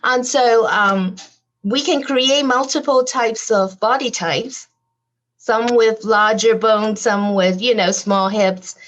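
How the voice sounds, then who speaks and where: A woman's voice: on the high side, slow pace, fairly bright tone, highs cut off. A woman guest on a podcast interview, talking animatedly about her design business with frequent fillers and light laughs. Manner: laughs lightly as she talks.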